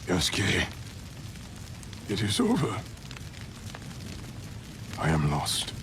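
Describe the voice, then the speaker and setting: An actor playing a proud, defeated middle-aged Japanese warlord in a video game, speaking gravely and solemnly as he faces death. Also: sits low, huge pitch swings, extremely slow, background noise, heavily acted. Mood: resigned, grave. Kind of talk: dramatic video game cutscene acting.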